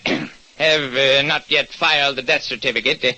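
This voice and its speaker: An actor playing a shabby, evasive neighborhood doctor with formal, hesitant speech, in a vintage radio detective drama. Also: male voice, mid-range voice, steady tone, normal speed, pushed and bright, low-quality recording. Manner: formal, hesitant, throat-clearing.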